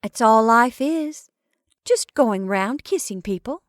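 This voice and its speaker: A woman's voice: fairly high pitch, expressive, slow pace, no room sound, voice pushed up. A woman voicing a flippant young chorus girl, breezy and teasing with mock-dramatic flair, in a dry, close recording.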